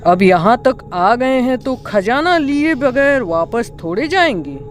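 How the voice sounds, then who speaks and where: Young village treasure hunter for horror cartoon, acted: dramatic, exclamatory, slow delivery, soft music behind. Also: medium pitch, animated, natural voice. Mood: nervous, bickering. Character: frightened or cocky young village friends hunting treasure. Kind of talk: Hindi cartoon horror story dialogue.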